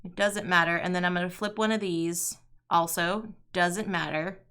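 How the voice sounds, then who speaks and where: A woman chatting with her viewers while explaining a solo card game on a live stream, her voice slightly raspy before her morning tea. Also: on the low side, fairly even intonation, moderate pace, sharp tone. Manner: chatty, talks to chat viewers, sings playfully. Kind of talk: casual live-stream commentary.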